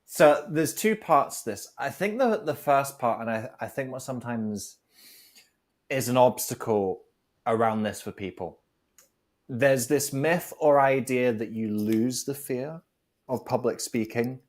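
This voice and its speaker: A man's voice: mid-range voice, measured pace. A man, a guest on a talk show, reflectively giving advice and building his points with analogies. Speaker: guest speaker.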